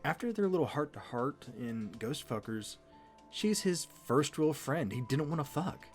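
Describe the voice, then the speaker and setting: A man of medium pitch gives casual, scripted fan commentary with very lively intonation. He sounds like a recap co-host, with soft music running underneath.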